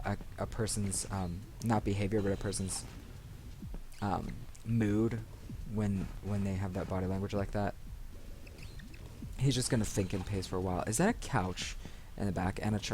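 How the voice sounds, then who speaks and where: A man's voice: lowish voice, darkish tone, a little hiss or hum. A man hosting a let's play, rambling casually and thinking aloud into a desk microphone. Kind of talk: casual gaming commentary. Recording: music behind the voice. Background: video game music.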